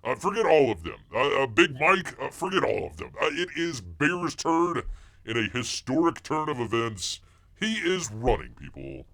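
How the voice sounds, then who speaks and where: A man's voice: mid-range voice, wildly swooping pitch, normal speed, a bit bright. A man voicing a bumbling, blustery male bear who rambles, stammers, talks about himself in the third person and keeps calling out to people. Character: bumbling blustery male bear, self-styled woods veteran. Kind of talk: comic character monologue.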